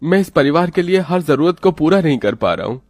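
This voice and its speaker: A man's voice: on the high side, big pitch swings, a little fast, a little mellow, no room sound, clean studio sound, faint music behind. An actor playing a poor, earnest, weary working family man in a cartoon story. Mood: sorrowful. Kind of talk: cartoon moral story acting.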